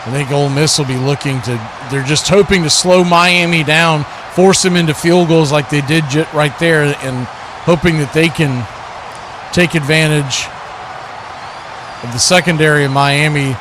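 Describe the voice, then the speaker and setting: High-voiced man calling football. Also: normal speed. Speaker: play-by-play host of a sports watch party stream. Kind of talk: live football commentary. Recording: crowd and outdoor game noise behind.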